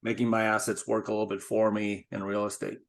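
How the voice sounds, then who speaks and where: A middle-aged man from Spain, a guest in an interview, talking in a measured, thoughtful way with frequent restarts. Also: fairly low pitch, level tone, creaky. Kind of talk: casual interview talk.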